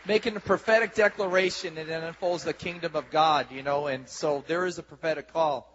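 A man hosting a church service on stage, rousing the congregation with exuberant, excited talk into a handheld mic. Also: on the high side, moderate pace, long pauses, a faint crowd, slight background noise. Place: large church sanctuary stage. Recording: lo-fi camcorder recording in a reverberant hall.

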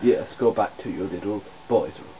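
A woman voicing a bossy, menacing, slightly dim muscle man in a put-on deep voice for a comedy skit. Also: normal speed. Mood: taunting. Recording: muffled lo-fi audio, faint noise.

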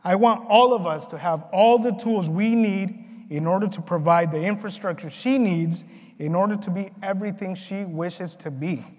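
High-pitched man giving a speech, reverberant hall. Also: darkish tone. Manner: earnest, deliberate, measured phrasing. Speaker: keynote speaker. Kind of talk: prepared conference keynote speech. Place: large conference ballroom, on stage. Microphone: lapel mic at a podium.

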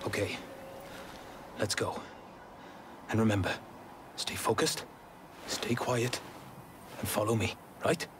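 An actor playing a dry, witty, seasoned British spy in a video game, with a British accent and a clipped, understated manner. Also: male voice, on the low side, slow, pauses often, noisy background. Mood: urgent briefing. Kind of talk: video game cutscene acting. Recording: loud music and vehicle behind.

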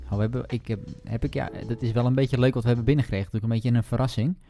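A man's voice: mid-range voice, steady tone, very fast, dark tone, soft background music. A man with a Netherlands Dutch accent chatting casually as podcast co-host, playful and rambling, often searching for the word. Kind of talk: casual co-hosted chat.

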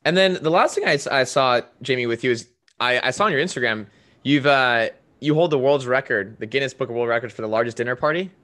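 A man's voice: medium pitch, fast, a bit bright. A man in his thirties hosting a video-call interview, upbeat and salesy, often tagging his sentences with "right?". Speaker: podcast host. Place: home room with a framed poster. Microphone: desk podcast mic on a video call.

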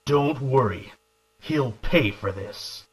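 A man's voice: medium pitch, lively intonation, slow. A man playing a determined government agent in an arcade zombie game, with stilted, declamatory delivery. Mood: grim resolve. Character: determined male government agent hunting a villain among zombies. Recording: echoey, distant game voice clips.

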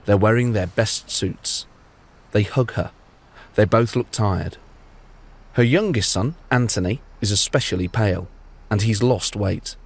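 A man's voice: fairly low pitch, unhurried, breathy edge. A man narrating a true-crime story as podcast host, with dry, wry asides. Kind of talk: scripted storytelling narration with banter.

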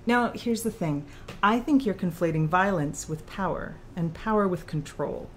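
A woman's voice: lowish voice, animated, moderate pace, slight background noise, lightly acted. A woman performing an analytical, probing author character in audiobook dialogue. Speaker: audiobook reader.

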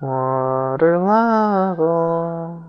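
A man's voice: a little high, lively intonation, extremely slow, muffled recording. A young man giving casual, self-mocking commentary over a video game, with his voice feeling a little off. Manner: wry, self-mocking, mutters asides. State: voice feels off, maybe getting sick. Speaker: let's play commentator. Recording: faint game music behind.

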